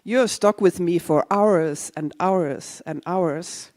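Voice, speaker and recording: A woman's voice: on the low side, slow pace, a little mellow, no room sound. Chatty Danish-born woman speaker, dry sound. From Denmark. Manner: chatty, self-deprecating, audience asides. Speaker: conference speaker. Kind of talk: casual conference talk. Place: conference hall.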